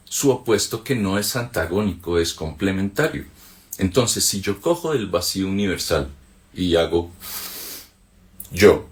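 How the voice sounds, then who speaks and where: A man from Colombia with a Colombian accent, giving a reflective spoken commentary on a philosophical text, checking in with his listeners through quick rhetorical questions. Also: low voice. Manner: conversational, asks rhetorical checks like "ok?" and "mm?". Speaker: spiritual teacher.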